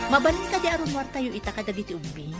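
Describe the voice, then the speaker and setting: A woman's voice: on the high side, very animated, fast, over music. A woman acting as a wary young wife in an audio drama, asking pointed, quizzing questions.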